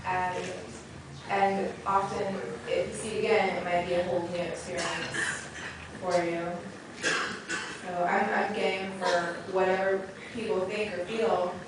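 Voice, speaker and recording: A woman's voice: fairly low pitch, level tone, unhurried. A woman, an actress on a film panel, giving informal answers at a live question and answer session. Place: movie theater Q&A. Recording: very echoey noisy theater, distant mic.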